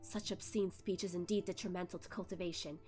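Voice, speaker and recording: A woman's voice: fairly low pitch, steady tone, a little fast, a little mellow, faint music behind, voice pushed down, close to an everyday voice. A woman voicing a stern, aloof young male immortal master who speaks in cold, clipped, scolding lines.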